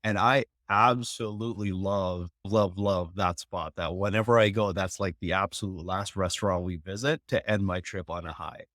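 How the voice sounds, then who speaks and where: A man's voice: deep voice, steady tone, moderate pace, few pauses, a bit bright, no echo, clean studio sound. A man in his thirties from New York, telling personal stories as an interview guest in an earnest, reflective way. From New York, United States. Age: 30s. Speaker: interview guest, technology executive.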